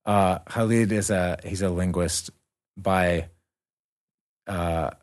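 A man hosting a casual interview, relaxed, often answering with short interjections. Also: low-pitched, takes it slowly. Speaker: host.

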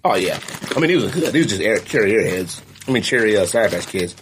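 A man's voice: lowish voice, lively intonation, fast talker, fairly bright tone, slight background noise. A man hosting a podcast, chatting casually and laughing as he tastes snacks, in laid-back slang. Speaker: podcast host. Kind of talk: casual chat, snack tasting.

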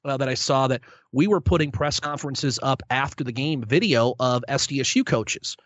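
A man's voice: medium pitch, moderate pace, dry recording. A young man, a sports play-by-play broadcaster, answering interview questions in a good-humored, self-deprecating way with many restarts. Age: young adult. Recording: dull lo-fi sound.

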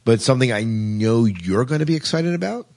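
A man's voice: medium pitch, expressive, brisk, darkish tone. A man co-hosting a casual podcast, chatting through science fiction news. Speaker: podcast co-host.

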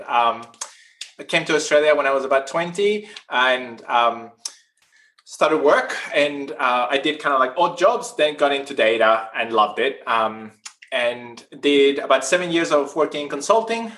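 Earnest Chilean-born man, slightly high voice. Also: fairly bright tone. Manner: earnest, long winding answers. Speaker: podcast guest. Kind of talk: casual podcast interview.

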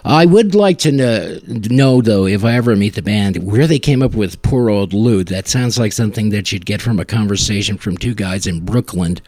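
A man's voice: on the low side, lively intonation, normal speed, few pauses, a little mellow, no echo. Casual man hosting a music podcast, dry sound. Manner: rambling, conversational, searching for words. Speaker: podcast host. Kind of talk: casual music-show commentary between songs.

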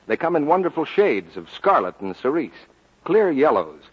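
A man reading a 1940s radio advertisement as a formal, measured announcer with a slightly husky voice. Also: fairly high pitch, very animated. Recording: dull old 1940s radio recording.

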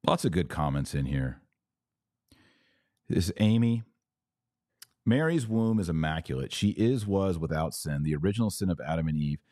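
A man's voice: low-pitched, lively intonation, normal speed, long pauses, dark tone, no echo, studio quality. A man hosting a podcast, giving religious commentary and answering viewer comments in an emphatic, didactic way with rhetorical questions. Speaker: podcast host.

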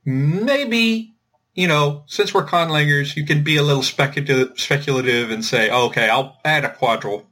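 A man explaining things informally as a podcast host, thinking aloud in a halting way with repeated words. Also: fairly high pitch, bright, forceful tone. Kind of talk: informal explanatory discussion of linguistics.

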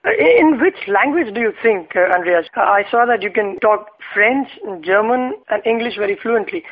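Male interviewer, high voice, hesitant questioner, muffled radio sound. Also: animated, normal speed. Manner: hesitant, many "uh" fillers while asking. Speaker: interviewer, host.

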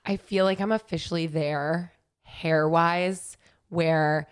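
A young woman with a raspy voice co-hosting a podcast with her husband, chatting casually, teasing him and laughing often. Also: low voice, steady tone, slow pace. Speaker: podcast co-host. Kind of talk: casual couple chat.

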